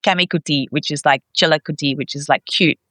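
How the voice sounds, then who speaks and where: A woman chatting casually as an interview guest, expressive and quick to act out dialogue as she tells stories. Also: low-pitched, no room sound. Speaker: podcast guest, expat parent.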